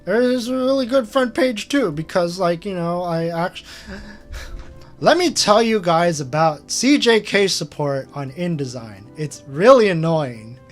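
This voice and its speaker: A man's voice: high voice, expressive, a little slow, faint music behind. A man hosting a casual screen-share retrospective, rambling with lots of fillers and chuckling at himself. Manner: rambling, filler-heavy, self-amused. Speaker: channel host.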